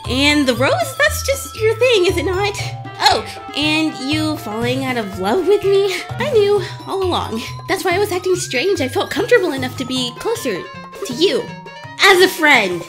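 High, excitable, flustered teenage superheroine voiced by a woman. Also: normal speed, over music. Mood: frantic denial. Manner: stammering, gushing, excitable. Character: flustered, awkward teenage superheroine hiding her crush. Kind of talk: animated-style comic dub acting.

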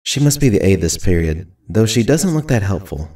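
A man's voice: lowish voice, big pitch swings, moderate pace, darkish tone. A man playing a grumbling, introverted new student who narrates a visual novel dryly, sounding distant under music.

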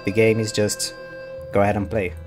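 A man gives casual gameplay commentary as a streamer. His voice sits a bit low, with clearly audible game music behind it. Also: level tone, moderate pace, a little mellow.

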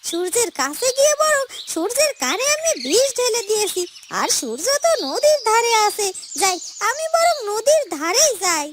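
A woman's voice: very high voice, big pitch swings, moderate pace, few pauses, heavy background noise. A woman playing a scheming, spiteful young female villain in a fairytale cartoon, sly and gloating, with music under her. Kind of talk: children's fairytale cartoon voice acting.

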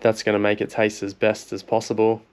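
An Australian man in his thirties talks through a cooking demo in a relaxed, plain way. His voice sits low, stays fairly even in pitch and sounds smooth and clear. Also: a little fast. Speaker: fishing vlogger. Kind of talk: instructional cooking voice-over.

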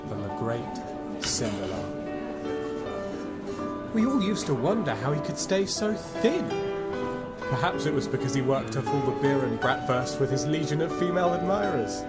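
Actor as proud old football fan over music. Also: male voice, medium pitch, natural voice. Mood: nostalgic. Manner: theatrical, emphatic, with weighty pauses. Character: elderly Austrian football fan, proud, nostalgic, wry. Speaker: narrator. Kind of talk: dramatic first-person storytelling in an audio play. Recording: music and crowd noise behind.

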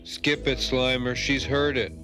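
An actor playing a wisecracking, laid-back cartoon ghost hunter, sardonic and glib. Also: male voice, mid-range voice, flat delivery, a little slow, lightly acted. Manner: sardonic, glib, self-important. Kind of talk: cartoon voice acting. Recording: music behind the voice.

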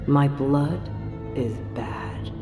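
An actress playing a deranged female hospital patient in an audio drama, speaking in halting, repetitive fragments with intensity. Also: very deep voice, warm tone, heavily acted. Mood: haunted, unsettled. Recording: roomy sound with music underneath.

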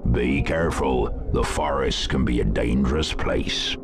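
A man playing a huge, kindly, gruff giant gamekeeper with a West Country accent in a video game, giving folksy cautions. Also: rumbling low, steady tone, a little slow, loud music behind the voice. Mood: cautioning, kindly. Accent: English West Country. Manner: plain-spoken, cautioning, folksy. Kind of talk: video game character dialogue.

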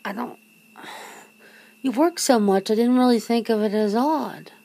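A woman playing a nervous, teasing, disbelieving girlfriend in an intimate ASMR roleplay, talking haltingly and often dropping toward a whisper. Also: a little high, vocal fry, dark tone, low-quality recording. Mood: hesitant, confused. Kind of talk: ASMR roleplay, one side of an intimate conversation.

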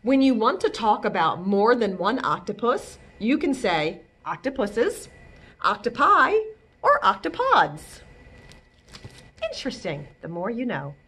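Lively, high-voiced middle-aged woman hosting storytime. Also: measured pace. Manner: animated, expressive, addressing young children. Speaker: children's storytime librarian. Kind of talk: children's read-aloud and storytime hosting. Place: indoors, small library children's room. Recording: noticeable background room noise.

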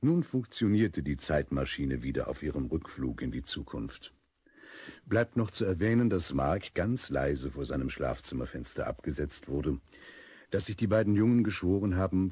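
An actor playing a fatherly narrator in a children's radio play, telling the story in a deep, husky voice. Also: darkish tone, no room sound, exaggerated voice.

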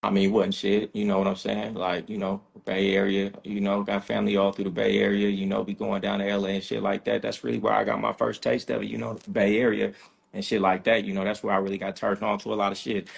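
A man's voice: lowish voice, completely flat delivery, fast talker, creaky, husky. A man from Sacramento talking bluntly and profanely as a guest on a casual video-call podcast. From Sacramento, California.

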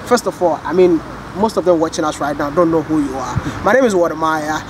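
A man's voice: on the high side, a little fast, noisy background. A Ghanaian man hosting a casual interview outdoors, asking teasing, playful questions. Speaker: travel vlogger host. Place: outdoor garden patio.

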